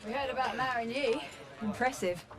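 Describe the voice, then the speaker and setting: High-voiced female agent, acted. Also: moderate pace, a crowd in the background, lightly acted. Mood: cool. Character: cool, seasoned female British agent. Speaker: special forces agent. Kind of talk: war game voice acting.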